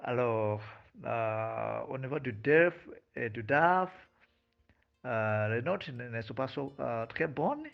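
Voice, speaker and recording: Slow, gravelly-voiced male teacher from Malawi. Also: medium pitch. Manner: hesitant, many fillers and restarts. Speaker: French teacher, guest. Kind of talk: interview answers. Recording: dull lo-fi sound with faint music.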